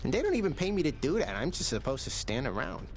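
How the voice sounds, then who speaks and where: An actor playing a cheeky, easygoing undead sidekick in a video game, with a gravelly voice and a breezy, slangy way of talking. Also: male voice, medium pitch, wildly swooping pitch, fast, slight background noise. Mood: breezy, amused.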